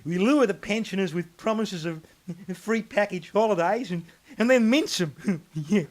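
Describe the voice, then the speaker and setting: A man playing a high-voiced smug Australian politician. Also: normal speed, natural voice. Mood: amused, callous. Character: smug scheming older Australian prime minister, gleefully callous. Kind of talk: satirical radio sketch acting.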